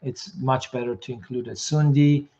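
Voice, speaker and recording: A hoarse man runs a live question session, reading out viewer comments and answering each one quickly and plainly. His voice sits at an ordinary middle pitch. The sound is dull and lo-fi, like a streamed call. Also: steady tone, mellow. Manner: quick, matter-of-fact answers, reads comments aloud. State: losing his voice. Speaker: immigration consultant host.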